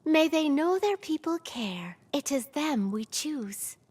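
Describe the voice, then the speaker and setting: Slow, high, lilting prophetess. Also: female voice, animated, heavy background noise. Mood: solemn, mystical. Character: woman oracle reciting solemn rhyming prophecy of heirs. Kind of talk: slow theatrical verse recitation.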